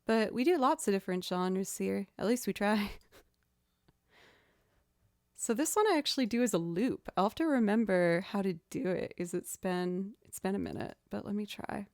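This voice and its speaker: A young woman with a lower voice, chatting casually on a live music stream, self-deprecating and quick to laugh. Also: darkish tone, no room sound, studio quality.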